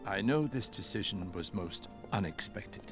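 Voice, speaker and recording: Solemn cartoon senate leader, big swooping speechy delivery, faint music behind. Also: male voice, deep voice, expressive, normal speed. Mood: solemn, persuasive. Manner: solemn, declamatory. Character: formal, stately male galactic senate leader. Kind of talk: animated series voice acting, oratory.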